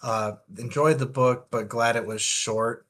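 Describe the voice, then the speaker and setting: A man with a mid-pitched voice chats casually as a book show co-host. He works through his opinions aloud, qualifying them as he goes in long, winding points. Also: fairly even intonation, a little slow, fairly bright tone. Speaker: podcast co-host.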